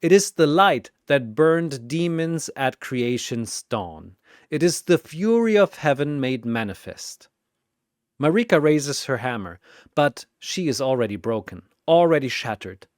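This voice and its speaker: Slow, dramatic storytelling narrator. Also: medium pitch, very animated, no room sound, studio quality.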